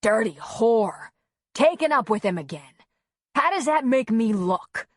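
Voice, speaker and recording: A man's voice: high-pitched, animated, very slow, a little mellow, slightly rough, dry recording, clean studio sound, heavily acted. A woman narrator voicing a violent, hot-tempered husband who barks and bellows his commands in an audiobook story. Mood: furious.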